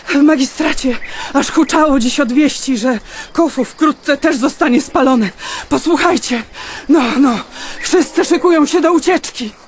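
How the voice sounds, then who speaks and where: A woman's voice: sits high, slight background noise, close to an everyday voice. A woman playing a worried, emphatic wife and mother in a wartime radio drama. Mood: alarmed, urgent.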